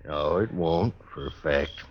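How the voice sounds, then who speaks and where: A man's voice: rumbling low, a little slow, highs cut off. A man with a rural Western American accent playing a stubborn, fatalistic stagecoach station keeper in a 1950s radio western, laconic and blunt. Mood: guarded, dry. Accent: rural American West. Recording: vintage radio with faint noise.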